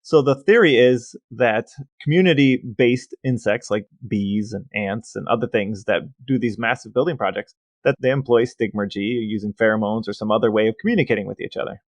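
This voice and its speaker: A man's voice: on the low side, brisk, hardly any pauses, no room sound. A man co-hosting a casual podcast, explaining facts and figures at length with some banter. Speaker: podcast co-host.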